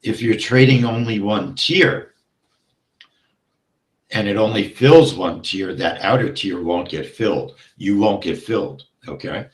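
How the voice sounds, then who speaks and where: A man with a deep, low voice walks through a live trade at an unhurried pace. His voice is going hoarse, and he clears his throat often between steps. The sound is roomy and echoey. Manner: steady, explains step by step, often checks with "okay?". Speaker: trading instructor. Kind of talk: live trading walkthrough.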